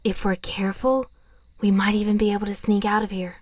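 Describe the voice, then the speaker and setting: A woman's voice: mid-range voice, brisk, no echo, lightly acted. A woman playing a serene, affectionate, protective witch in an audio drama. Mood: cautious. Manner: calm, affectionate.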